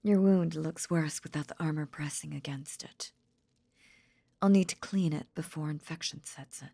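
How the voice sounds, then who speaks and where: A woman playing a possessive lamia in an intimate roleplay audio drama, tenderly nursing in a breathy, half-whispered, seductive murmur with veiled menace. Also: deep voice, dark tone. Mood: soothing, caring. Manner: slow, soothing, seductive murmur.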